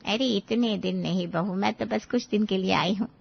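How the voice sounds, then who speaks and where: A woman voicing a kind, gentle older aunt who patiently advises young wives in an animated moral story. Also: medium pitch, normal speed, gravelly, no room sound, dull audio. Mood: kind, reassuring. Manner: gentle, reassuring, explanatory.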